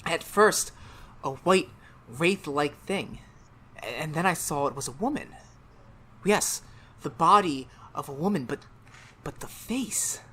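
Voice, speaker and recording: A man's voice: fairly high pitch, expressive, slow, pauses often, bright, forceful tone, husky, full character voice. A man playing a tormented, anxious teacher in 1930s-style radio drama, with an intense, melodramatic delivery. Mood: haunted. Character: tormented male biology teacher, haunted and anxious.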